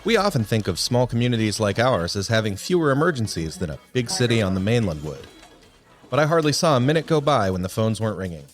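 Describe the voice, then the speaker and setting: A man's voice: lowish voice, very animated, fast talker, soft background music. A man hosting and narrating a scripted TV segment. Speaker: host and narrator.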